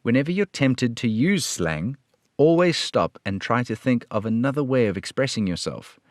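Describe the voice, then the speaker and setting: A man voicing a firm, upright police detective in an old-time radio play, speaking in a measured, lecturing way. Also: mid-range voice, animated, no echo, studio quality, lightly acted.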